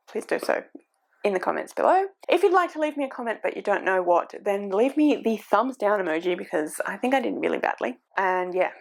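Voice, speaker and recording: A woman in her forties chatting casually to camera as a book vlogger, thinking aloud and poking fun at herself. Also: fairly high pitch. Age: 40s.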